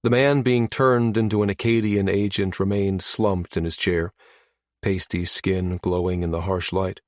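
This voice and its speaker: A man narrates a science fiction audiobook in a low voice. The sound is dry, with very little room to it, and the delivery is that of scripted prose read aloud. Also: steady tone, measured pace, darkish tone, dry recording.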